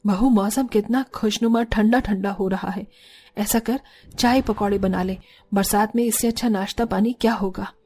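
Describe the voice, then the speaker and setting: A woman's voice: mid-range voice, steady tone, normal speed, a little mellow, close to an everyday voice. A woman voicing an older mother-in-law, a homely, concerned family matriarch in a cartoon story. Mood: content. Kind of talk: Hindi cartoon story acting.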